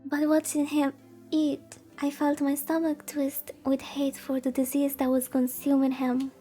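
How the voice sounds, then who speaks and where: A woman's voice: sits high, completely flat delivery, a little slow, mellow, faint music behind. A woman streamer reading a visual novel aloud in a soothing way, with cutesy, gushing chatty asides. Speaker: VTuber streamer.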